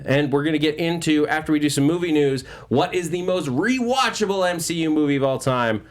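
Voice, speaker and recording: A man hosting a casual movie news chat, talking with enthusiasm and animated asides. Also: fairly high pitch, fairly quick. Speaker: show host.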